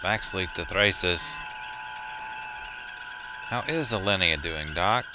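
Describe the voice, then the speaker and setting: A man playing a steady, commanding starship captain in a sci-fi audio drama. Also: sits low, slow, background noise. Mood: composed, authoritative.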